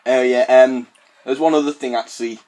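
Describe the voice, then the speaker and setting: A young adult British man making a casual prank call in a halting, hesitant manner. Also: mid-range voice, fairly even intonation, a little mellow. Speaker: prank caller.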